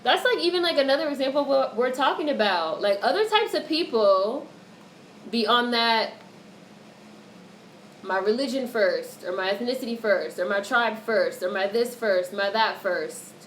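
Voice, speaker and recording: A woman's voice: on the high side, lively intonation, normal speed. Blunt woman livestreamer, noisy audio. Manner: blunt, opinionated, swears freely. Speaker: livestream host reading and answering chat comments. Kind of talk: casual livestream commentary.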